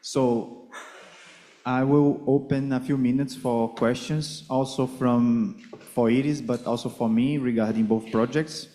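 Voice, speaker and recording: A man leads the questions after a symposium talk, with a mellow, relaxed tone at a mid pitch. He is polite and measured, and he builds his questions carefully. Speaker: project coordinator and Q&A moderator. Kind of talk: moderating conference Q&A. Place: conference hall.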